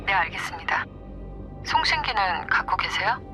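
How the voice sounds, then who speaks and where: A woman's voice: lowish voice, animated, moderate pace, bright, forceful tone. A woman acting a composed police inspector in an audio drama, formal and matter-of-fact. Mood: composed, official. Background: background music.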